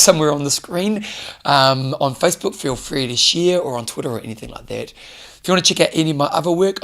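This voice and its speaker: A man giving an earnest solo coaching talk, hoarse from losing his voice. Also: mid-range voice, fairly bright tone. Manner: earnest, coaching, direct questions to listener. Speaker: fitness show host. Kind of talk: solo motivational talk to camera.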